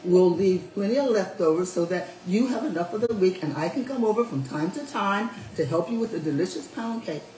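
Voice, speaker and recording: A woman's voice: mid-range voice, expressive, a little fast, hardly any pauses, dark tone, recorded from a distance, pitched above the performer's own voice, natural voice. A woman reading as a prim, proper grown daughter. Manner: proper, managing tone. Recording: roomy echo, faint music.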